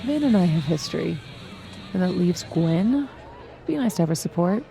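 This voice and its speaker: A woman's voice: fairly low pitch, expressive, moderate pace, vocal fry, mellow, soft background music, some chatter behind. A woman playing a bold, sardonic, vengeful young woman in a video game, speaking with emphasis. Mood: scheming. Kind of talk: naturalistic video game acting.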